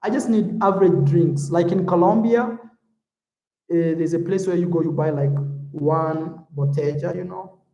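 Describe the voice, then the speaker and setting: A man's voice: a little high, moderate pace, echoey room, muffled recording. A man from Africa chatting casually on a livestream, reading out viewer comments and calling viewers "man" and "bro". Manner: relaxed and talkative, calls viewers "man" and "bro". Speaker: YouTube vlogger.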